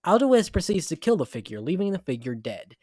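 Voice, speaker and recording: A man's voice: high-pitched, big pitch swings, brisk, a little mellow, dry recording. A man reading scripted story narration as the narrator. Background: background music.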